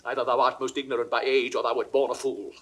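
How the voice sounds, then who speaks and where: A man's voice: medium pitch, level tone, quick pace, fairly bright tone, husky. An actor playing a jealous, imperious king in declamatory, haughty Shakespearean audio drama. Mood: angry, scornful. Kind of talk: classical Shakespearean stage acting.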